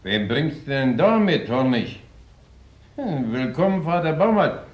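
Village innkeeper, muffled and echoey. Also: male voice, a little high, very animated, measured pace. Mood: sociable. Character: gruff, sociable village innkeeper. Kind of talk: German radio drama acting. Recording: dull, echoey, noisy room.

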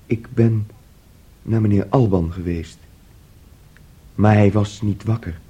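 An anxious, husky boyish young man. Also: lowish voice, warm tone, muffled recording. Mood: troubled, confiding. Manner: agitated, halting, repeating himself. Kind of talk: Dutch radio play acting.

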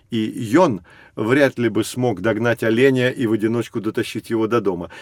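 A man playing a knowledgeable, patient teacher who explains things to children in a measured, expressive storytelling way. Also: medium pitch, no echo, clean studio sound, natural voice. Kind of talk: scripted educational storytelling for children.

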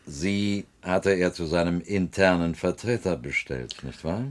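An actor playing a haughty, blunt inspector from a space city in a German radio play, curt and condescending. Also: male voice, deep voice, measured pace. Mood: curt, disdainful.

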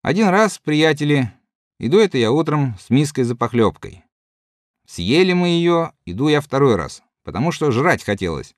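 A man's voice: fairly high pitch, normal speed, no room sound, clean studio sound. A man reading the part of a good-natured, rambling middle-aged soldier telling his comrades a yarn. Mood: chatty. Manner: chatty, lively yarn-spinning to comrades. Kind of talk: audiobook reading.

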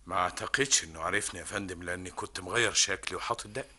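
A man's voice: sits low, racing, pushed and bright, full character voice. An actor with an Egyptian accent playing a dutiful young undercover agent reporting to his superior. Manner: deferential reporting.

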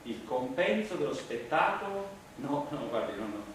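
An actor playing an evasive, flustered stage performer who stammers and repeats himself in hesitant theatrical dialogue. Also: male voice, on the high side, big pitch swings, normal speed. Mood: puzzled, insistent. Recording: roomy, distant, noisy stage recording.

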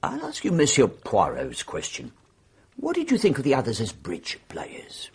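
A British police superintendent in a radio mystery. His voice is very husky, with hugely varied intonation. He probes shrewdly but sounds fatherly and understated. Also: medium pitch, huge pitch swings, normal speed, a little mellow, full character voice. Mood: questioning. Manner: fatherly, probing, understated, with hesitant lead-ins. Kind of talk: radio drama acting.

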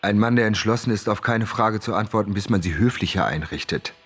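An actor playing a proud, defiant fugitive outlaw in a German radio play, speaking formally and theatrically. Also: male voice, on the low side, fairly quick, a bit bright, breathy edge, faint music behind. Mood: defiant, indignant.

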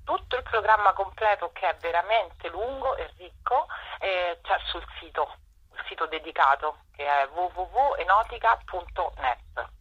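A woman's voice: fairly low pitch, expressive, breathy edge. A woman explaining things hesitantly as an interview guest on a dull radio phone-in. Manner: hesitant, explanatory, with drawn-out pauses. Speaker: festival presenter, interview guest.

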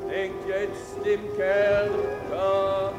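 A man's voice: high voice, monotone, extremely slow, over loud music. A man playing a mournful street ballad singer, half singing a crime tale in a radio drama. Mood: solemn, lamenting. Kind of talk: slow sung-spoken ballad in radio drama. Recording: echoey, with loud musical accompaniment.

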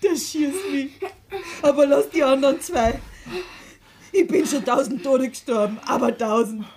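A woman's voice: high-pitched, measured pace, a little mellow, slight background noise. An actress playing a desperate young rural woman in a radio drama, pleading in anguish.

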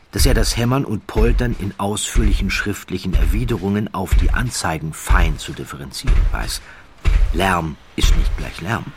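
A man narrating a scripted documentary story in a measured, dramatic way with deliberate pauses. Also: sits low, a bit bright, husky, dry recording.

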